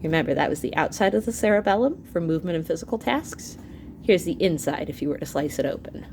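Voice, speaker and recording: A woman's voice: low voice, fast, a little hiss or hum. A woman reading an educational book aloud as a teacher to students, expressively, speaking directly to her listeners with asides. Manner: animated, with asides and exclamations to listeners.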